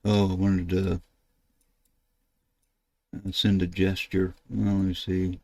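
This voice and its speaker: Low, gravelly older American man, casual. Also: completely flat delivery, a little mellow, dry recording. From United States. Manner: halting, thinking aloud while he works. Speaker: ham radio video host. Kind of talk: casual screen-share tutorial narration. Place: indoors at a computer desk.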